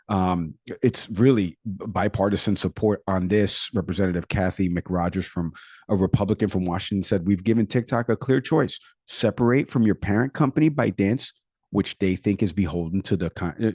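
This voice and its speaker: A man's voice: fairly low pitch, dark tone, no room sound, studio quality. A man with a Puerto Rican accent co-hosting a podcast, talking casually and rattling off statistics with frequent fillers. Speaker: podcast co-host; product manager.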